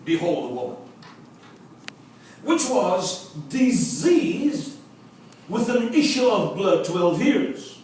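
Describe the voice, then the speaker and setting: A man's voice: sits high, big pitch swings, slow, long pauses, a little mellow, a little hiss or hum. A man preaching a revival sermon in English, his first language Afrikaans, with rhythmic repetition that builds to emphatic calls and joking asides to the congregation. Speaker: visiting preacher. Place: church sanctuary. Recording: echoey church, from a distance.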